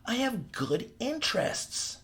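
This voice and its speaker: A man voicing a greasy, self-pitying male captor who whines and pleads in a high, tearful voice. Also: sing-song, slow pace, a bit bright, exaggerated voice. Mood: whiny.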